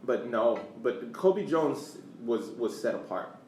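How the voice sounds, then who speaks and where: American guy on a sports podcast, kinda halting, repeats words, echoey room w/ a little noise. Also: mid-range voice, very animated, darkish tone. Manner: halting, repeats words while searching for phrasing. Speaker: podcast co-host. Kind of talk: casual sports podcast chat. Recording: echoey room, distant mic, faint background noise.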